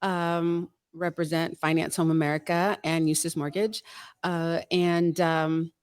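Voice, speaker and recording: A woman's voice: sits low, level tone, a little slow, darkish tone, smooth, dry recording, clean studio sound. A woman from South Texas, a branch manager guest, introducing herself with some hesitant fillers. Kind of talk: casual interview.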